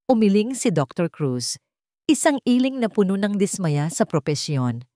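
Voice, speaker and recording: A woman's voice: mid-range voice, big pitch swings, normal speed, warm tone, no room sound, studio quality. A woman storyteller reading a scripted romantic drama as narration.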